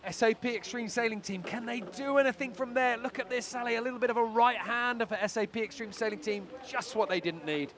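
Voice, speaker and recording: A man giving live play-by-play commentary on a sailing race, brisk and analytical. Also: very high-pitched, a bit bright.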